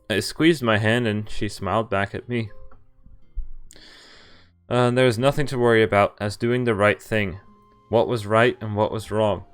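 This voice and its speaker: A man's voice: lowish voice, clean tone. A man streaming a visual novel, reading it aloud with casual commentary and some stumbles, amused asides mixed in. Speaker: game streamer.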